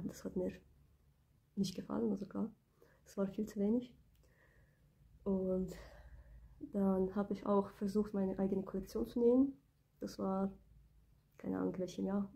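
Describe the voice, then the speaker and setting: Woman in her 20s, got a cold, talks soft with lots of ums, a bit gravelly, into her phone. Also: medium pitch, low-quality recording. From Ukraine. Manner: soft-spoken, hesitant, many fillers. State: has a cold. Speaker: beauty business owner. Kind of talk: casual personal vlog. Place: small indoor room, plain wall. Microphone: phone camera close up.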